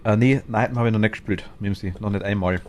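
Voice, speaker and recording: A man's voice: fairly low pitch, steady tone, quick pace, a little mellow, slight background noise. A man with a Bavarian accent chatting casually while streaming a game, rambling and murmuring to himself as he goes. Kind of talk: casual gaming chat.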